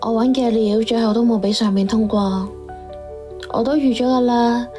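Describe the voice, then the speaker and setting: A woman's voice: on the high side, fairly even intonation, normal speed, close to an everyday voice. A woman playing a world-weary informant who reports her findings in a matter-of-fact, resigned way in an audio drama. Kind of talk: radio drama dialogue. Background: music.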